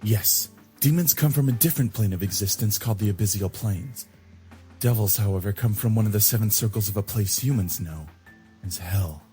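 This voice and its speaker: A man voicing a calm, knowledgeable and protective demon in a visual novel, speaking formally and with measure. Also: fairly low pitch, a little fast, soft background music, natural voice. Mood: explanatory.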